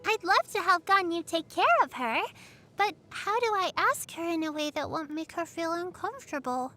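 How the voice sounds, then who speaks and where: A woman's voice: very high voice, expressive, normal speed, pushed and bright, heavily acted. A woman voicing a sweet, earnest little girl in an anime game, caring, innocent and chatty. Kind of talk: anime game character voicelines. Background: background music.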